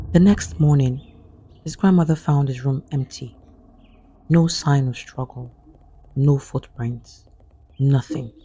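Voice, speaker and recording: A man narrating a scripted horror folktale as a storyteller, in a grave, suspenseful manner with a husky voice. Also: mid-range voice, dark tone, a little hiss or hum, rough recording. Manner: slow, grave, suspenseful delivery.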